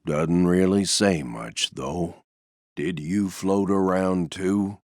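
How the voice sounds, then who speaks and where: A man voicing a gloomy, resigned, self-effacing stuffed donkey for a video game, with a mournful, deadpan, sighing delivery. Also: sits low, slow pace, dry recording, studio quality. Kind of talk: video game character voice lines.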